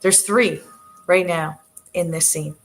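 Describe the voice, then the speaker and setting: A woman with a soft, gentle voice that sits a little low talks to her livestream viewers from the living room sofa. She hesitates often, restarting phrases with plenty of fillers, and her voice tends to trail off. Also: fairly even intonation, takes it slowly, slight background noise. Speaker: livestream host with her dogs. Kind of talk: casual live chat to viewers.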